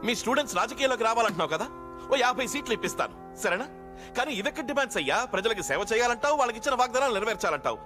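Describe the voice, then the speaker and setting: A man's voice: very high-pitched, sing-song, rapid-fire, music in the background. A man playing a pompous, lovesick politician who gets easily flustered, in a theatrical comedy film manner. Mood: bargaining. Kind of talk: Telugu comedy film acting.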